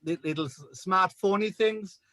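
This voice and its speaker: A man's voice: high voice, moderate pace, bright, forceful tone, no room sound. A Welsh man as a radio guest, chatting casually and often repeating words as he searches for them. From Wales. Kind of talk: casual chat on a radio show. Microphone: video call.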